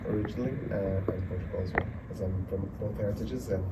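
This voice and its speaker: Man, film director at a festival Q&A; relaxed and halting, heard from a distance over room noise. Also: sits low. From Angola. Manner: relaxed, hesitant, many ums. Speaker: documentary director. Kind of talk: festival Q&A panel talk. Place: cinema event room. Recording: distant mic, noisy room.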